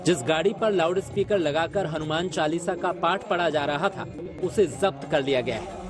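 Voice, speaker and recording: A man's voice: on the high side, moderate pace. A man reading a scripted television news report as its narrator. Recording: music under the voice.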